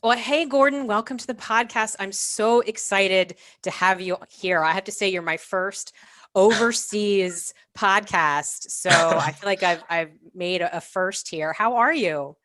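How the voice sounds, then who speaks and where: A woman's voice: mid-range voice, unhurried, fairly bright tone, dry recording. A woman in her forties hosting a chatty interview podcast, speaking in an effusive, emphatic way. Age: 40s. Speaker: podcast host.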